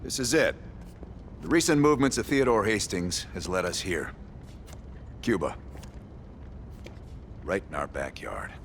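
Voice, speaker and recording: An actor playing a terse, commanding CIA field team leader in a video game cutscene. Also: male voice, sits low, expressive, a little slow, pauses often, a little hiss or hum. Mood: grave briefing. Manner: clipped, commanding. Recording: loud cinematic music behind.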